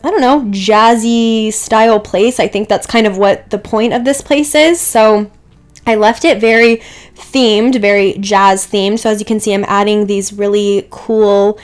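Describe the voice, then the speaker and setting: A woman's voice: medium pitch, fairly even intonation, measured pace. Woman with a stuffy, dry throat chatting. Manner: chatty and rambling, many "like"s and asides. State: getting over a cold, clearing her throat. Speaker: Sims build creator. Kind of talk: casual voice-over narration of a speed build.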